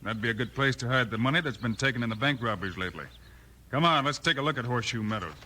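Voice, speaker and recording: A man's voice: medium pitch, rapid-fire, pushed and bright. An actor playing a commanding, decisive frontier lawman hero in a 1950s radio Western. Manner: decisive, businesslike. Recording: noisy old radio recording.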